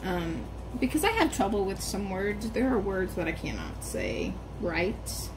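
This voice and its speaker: A young woman chats in a loose vlog, rambling and restarting, with um after um. Her R sounds come out hard and strongly stressed. A steady noise runs clearly behind her voice. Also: medium pitch, measured pace. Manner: rambling, hesitant with many ums and restarts. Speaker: vlogger. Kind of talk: casual personal vlog. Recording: background noise.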